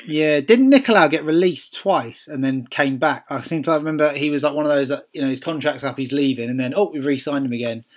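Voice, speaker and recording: A man's voice: a little high, brisk, few pauses. A man with a Welsh accent chatting casually as a podcast co-host, musing aloud with ums and restarts. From Wales. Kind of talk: casual chat.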